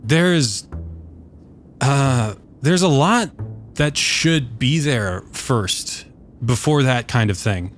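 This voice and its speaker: A man voicing a drowsy, flustered and reluctant man who speaks hesitantly and haltingly. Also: medium pitch, expressive, soft background music. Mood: flustered, weary.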